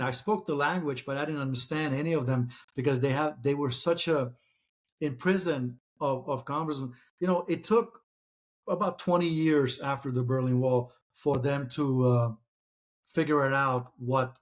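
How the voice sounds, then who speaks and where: Hesitant Czech-born man, steady mid voice. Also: mid-range voice, normal speed. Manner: hesitant, many restarts and fillers. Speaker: author and guest. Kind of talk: conversational interview.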